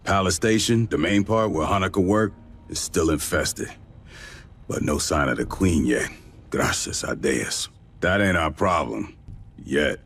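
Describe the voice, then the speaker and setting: An actor playing a gruff, sardonic marine commander with a husky voice, giving a blunt, slangy mission briefing in a game. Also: male voice, sits low, a little slow, a bit bright, a little hiss or hum. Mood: gruff, businesslike.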